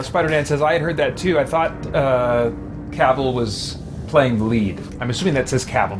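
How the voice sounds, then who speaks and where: A man's voice: medium pitch, noisy background. A man giving casual live gameplay commentary as a streamer, now and then reading chat aloud. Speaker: video game streamer. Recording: loud game music behind voice. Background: loud game music and effects.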